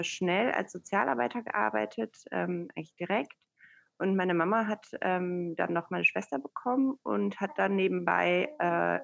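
A woman in her thirties, born in Iran, talking as a guest over a video call, thoughtful and hesitant with many pauses. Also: medium pitch, gravelly, lo-fi sound. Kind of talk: casual interview conversation.

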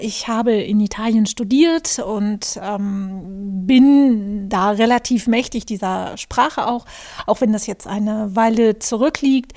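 Mellow-voiced German woman hosting a podcast. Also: a little high. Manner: reflective, thinking aloud with many fillers and restarts. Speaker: podcast host, journalist and author. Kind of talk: solo podcast monologue.

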